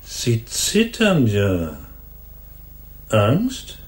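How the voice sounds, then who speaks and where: An actor playing a sadistic, gleeful hired killer in a radio play, taunting his victim in drawn-out phrases. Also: mid-range voice, wildly swooping pitch, full character voice. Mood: gloating.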